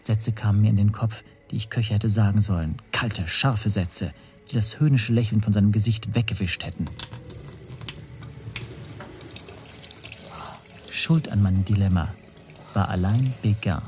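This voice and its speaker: An actor playing an anxious, self-doubting man who narrates his own story in a breathy, brooding hush. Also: fairly low pitch, normal speed, faint music behind, lightly acted. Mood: shaken. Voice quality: husky, breathy, often near whisper. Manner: brooding, introspective, hushed. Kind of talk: first-person radio play narration.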